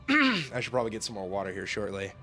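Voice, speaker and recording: Young man, streamer, casual chatty, laughs a lot, hoarse voice, soft music underneath. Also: on the low side, huge pitch swings, brisk. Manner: chatty, rambling, laughs often, lots of fillers. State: losing his voice, throat-clearing. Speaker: livestream host. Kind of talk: casual game overview chat.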